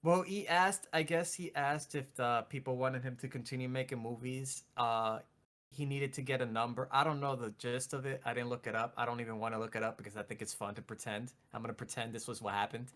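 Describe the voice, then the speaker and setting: Excitable man chatting casually. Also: medium pitch, fairly quick. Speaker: podcast co-host. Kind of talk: casual chat about video game movies.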